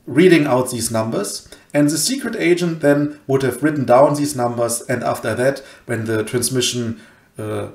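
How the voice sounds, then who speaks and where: A man gives an informal explainer over slides. His voice sits at an ordinary pitch, and the room adds a clear echo around it. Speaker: presenter of an educational cryptology channel. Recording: roomy, echoey home recording.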